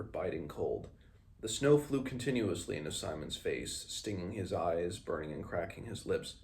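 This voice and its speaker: A man hosting a read-along, reading a fantasy novel aloud and adding informal recap, measured in the reading and hesitant in his comments. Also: lowish voice, darkish tone. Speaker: book read-along host.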